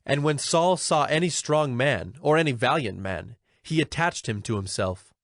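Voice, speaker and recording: A man's voice: mid-range voice, animated, normal speed, fairly bright tone, no room sound, clean studio sound. A man narrating a dramatized audio Bible in a formal storytelling style.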